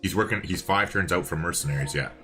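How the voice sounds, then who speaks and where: A man with a very low, bright voice co-casts a strategy game on a stream. He talks casually and rambles through what he is checking, with a readiness to chuckle. Soft music plays faintly behind him. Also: quick pace. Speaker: esports-style co-caster and streamer. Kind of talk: casual live game commentary.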